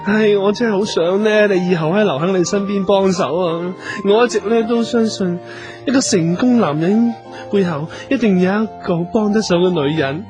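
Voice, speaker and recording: A man's voice: sits high, moderate pace, close to an everyday voice. An actor playing a fussy, effeminate, clingy male talent manager in a comedic radio drama, with a Hong Kong Cantonese accent and a whiny, auntie-like manner. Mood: pleading, admiring. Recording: music behind the voice.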